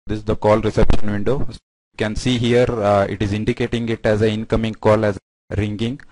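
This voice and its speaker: A man narrating a software product demo step by step in a halting, explanatory way. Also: fairly low pitch, level tone, background noise.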